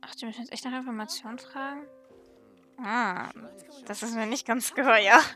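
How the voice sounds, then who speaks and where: A woman's voice: on the high side, moderate pace, pushed and bright, faint music behind. A woman gaming streamer giving casual let's play commentary and reading dialogue choices aloud.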